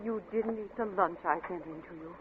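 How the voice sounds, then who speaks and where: A woman's voice: medium pitch, a little fast, narrow, tinny audio, close to an everyday voice. An actress playing an anxious, caring wife and mother in an old-time radio drama, with a fretful, pleading manner. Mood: worried. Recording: crackly, band-limited vintage radio broadcast.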